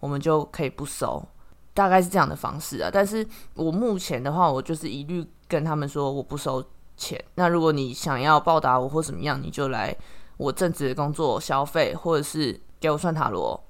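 This is a podcast host with a Taiwanese Mandarin accent and a medium-pitched voice. The talk is casual and reflective, with points explained at length. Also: a little fast. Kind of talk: casual chat.

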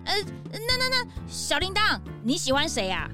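Brief: bright-eyed kid animal sidekick, high and very animated, earnest, children's detective drama. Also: female voice, moderate pace, over music, heavily acted. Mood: earnest, deducing. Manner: inquisitive, excitable, earnest.

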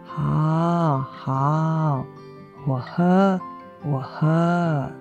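A woman with a Taiwanese accent playing a gentle, apologetic mother recovering from surgery, speaking tenderly in an educational radio drama. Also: deep voice, steady tone, drawn-out words, dull audio, faint music behind, full character voice. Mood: contented. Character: gentle, apologetic convalescing mother of a teenage boy. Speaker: amateur voice actor, schoolteacher.

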